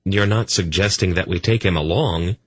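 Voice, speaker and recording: A man's voice: deep voice, normal speed, fairly bright tone, husky, no room sound. A man doing a reluctant young hero. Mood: dismayed. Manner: reluctant, dismayed.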